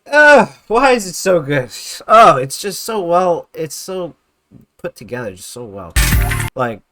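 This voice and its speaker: A man giving casual, enthusiastic video game commentary in a gushing, excitable manner with emphatic repetition and swearing. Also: high-pitched, a little slow, no echo, soft background music. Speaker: video creator presenting a top five list.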